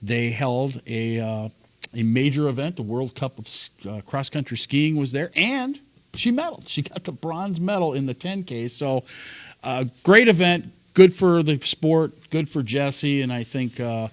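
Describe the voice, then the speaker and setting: A man's voice: mid-range voice, expressive, unhurried. A man hosting a casual sports talk show, chatty, laughing often and getting worked up.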